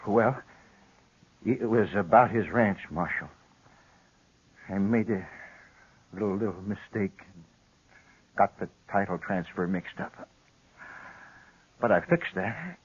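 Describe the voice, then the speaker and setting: An actor playing a shifty, wounded frontier lawyer, weak but insistent and evasive, in an old radio western. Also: male voice, fairly low pitch, lively intonation, takes it slowly, long pauses, husky, exaggerated voice. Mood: pained, evasive. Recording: dull lo-fi 1950s radio recording.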